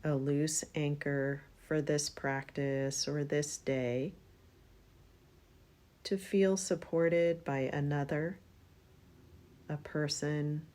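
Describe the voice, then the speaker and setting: A woman guiding a relaxation meditation in a soothing, unhurried manner, leaving long pauses between phrases. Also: sits low, fairly even intonation, dark tone. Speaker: yoga nidra meditation guide.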